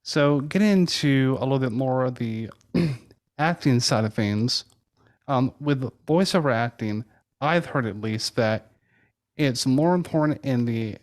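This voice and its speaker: Male interviewer, average pitch, relaxed conversational podcast style. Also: measured pace.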